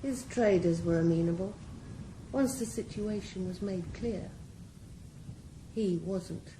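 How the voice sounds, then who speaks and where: A woman playing a cool, menacing crime boss in a radio drama, speaking in measured tones. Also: medium pitch, dark tone, a little hiss or hum, natural voice, low-quality recording. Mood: cold. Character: cold, calculating female crime boss.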